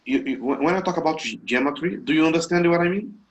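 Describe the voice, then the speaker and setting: A Brazilian man, a native Portuguese speaker, asking a question on a video call, halting with many fillers and restarts. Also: fairly high pitch, lo-fi sound. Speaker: webinar attendee asking a question.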